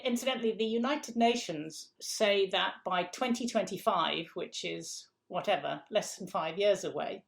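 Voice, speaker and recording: A middle-aged woman from England talks in an interview with a gravelly edge to her voice. Her pitch sits in the middle range, and she makes her points emphatically, repeating phrases and posing rhetorical questions. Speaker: food policy guest. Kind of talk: conversational interview answer.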